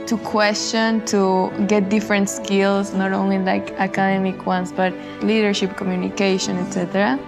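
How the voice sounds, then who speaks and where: A woman from Colombia, a college freshman, speaking in a measured way in a promo clip. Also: medium pitch, flat delivery, music in the background. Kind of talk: interview clip in a promo video.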